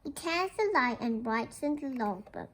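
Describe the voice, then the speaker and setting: A woman's voice: high voice, animated, normal speed, a bit bright, low-quality recording. A young girl reads a children's picture book aloud, explaining it and asking questions like a little teacher. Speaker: young storybook reader.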